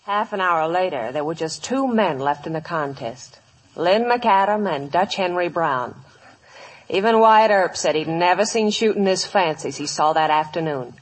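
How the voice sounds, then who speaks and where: A woman's voice: on the low side, animated, normal speed, a little hiss or hum, natural voice, low-quality recording. A woman playing the storytelling narrator of a 1950s radio western, in a folksy manner. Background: music.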